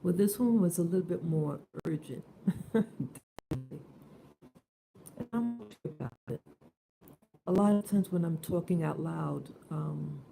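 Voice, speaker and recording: A woman just awake, hoarse and soft-spoken, talking hesitantly in a casual morning vlog. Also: lowish voice, measured pace, pauses often, slight background noise, poor audio. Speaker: vlogger.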